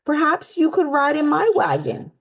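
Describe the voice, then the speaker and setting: Pooh, a woman doing a gentle kindly bear. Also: high-pitched, sing-song, normal speed, creaky, dull audio, voice pushed up, heavily acted. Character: gentle, kindly male bear, slow-thinking.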